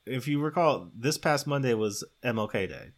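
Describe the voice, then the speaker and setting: A man's voice: mid-range voice, expressive, moderate pace, crowd noise. A man co-hosting a podcast in a casual, conversational, joking style. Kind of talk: casual comedic podcast chat.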